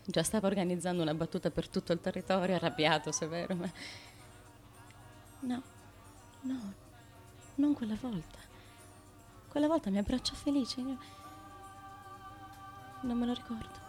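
An actress playing a murdered young queen of ancient Corinth in a radio drama, dazed and proud, with a breathy, incantatory, repetitive delivery. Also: medium pitch, moderate pace, pauses often, a little mellow, faint music behind, lightly acted. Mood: dreamy, remembering. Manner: incantatory, repetitive, shifting from murmurs to cries. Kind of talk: theatrical radio drama monologue and dialogue.

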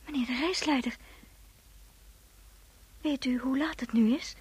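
Breathy, whispering, dazed girl. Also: high voice, a little slow, a little mellow, no room sound, full character voice. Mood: dreamy, mournful. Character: dazed, grieving, dreamy young girl. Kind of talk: Dutch radio play acting.

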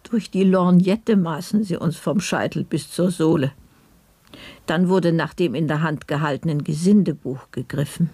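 A woman's voice: sits low, normal speed, mellow, breathy edge, dry recording. An actress playing an elderly grandmother who narrates memories of her youth as a housemaid in a German radio play, reflective and vivid. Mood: reminiscing. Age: older.

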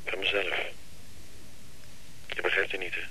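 An actor playing a troubled, evasive man who haltingly and wearily pleads for time in a radio drama. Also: deep voice, little pitch movement, sharp tone, slightly rough, heavily acted. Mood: evasive, urgent. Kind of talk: Dutch radio drama acting. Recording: noisy radio play recording, telephone scene.

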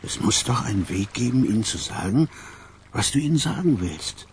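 An actor playing a sentimental old gangster confidant in a radio play, musing and confiding. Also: male voice, on the low side, sing-song, moderate pace, a little hiss or hum. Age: older.

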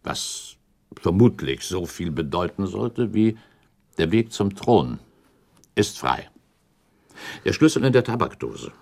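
A man's voice: sits low, big pitch swings, slow pace, gravelly, pauses often, a little mellow, full character voice. An actor playing a shrewd, relentless accuser in a radio play, speaking formally and precisely as he argues his case. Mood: methodical, explanatory. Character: shrewd male accuser laying out a murder theory, methodical, relentless. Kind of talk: German radio play acting, period dialogue.

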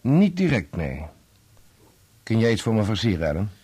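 An actor playing an indignant young conductor in a radio play, speaking in an insistent, combative, clipped way. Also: male voice, fairly low pitch, big pitch swings, normal speed, darkish tone, breathy edge. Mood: brisk. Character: indignant young conductor defending his dead father's name. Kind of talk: Dutch radio play acting.

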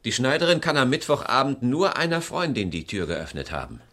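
Sharp, animated, indignant suspect. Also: male voice, mid-range voice, brisk. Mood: wary. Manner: terse, defiant, indignant. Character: cornered, indignant husband accused of murder. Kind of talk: German radio crime drama acting.

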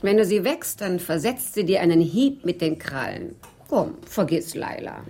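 Casting: flirtatious mistress, teasing, blunt and capricious, radio crime drama. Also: female voice, lowish voice, very animated, moderate pace, warm tone, a little hiss or hum. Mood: teasing, consoling.

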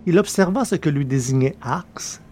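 A man narrating a science-fiction story from a script, in a measured, literary reading manner. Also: on the high side, lively intonation, a little mellow, a little hiss or hum.

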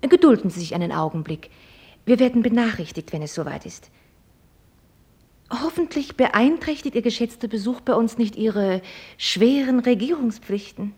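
An actress playing a proud, mockingly polite young American heiress in a German radio play, speaking in a formal, ironic and probing way. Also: female voice, fairly high pitch, animated, moderate pace, a little mellow, slightly rough. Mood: mocking politeness. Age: young adult.

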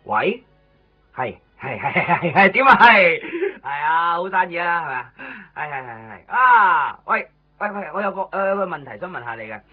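An actor playing an excitable, scatterbrained but helpful man in a Cantonese radio drama, stammering and repeating himself. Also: a little high, normal speed. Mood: chatty, flustered. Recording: tinny, band-limited 1990s radio recording, faint music.

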